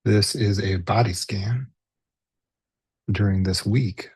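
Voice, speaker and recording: A man with a soft, breathy, husky voice leads a guided body scan meditation as a teacher, gently and unhurriedly, pausing between short phrases. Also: on the low side, fairly even intonation, no room sound.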